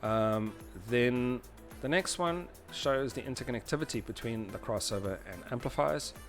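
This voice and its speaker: A man presenting an informal audio engineering tutorial, explaining step by step in a halting way and often rephrasing himself. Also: mid-range voice, faint music behind. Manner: hesitant, halting delivery with repeated rephrasing. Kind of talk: informal explanatory tutorial narration over diagrams.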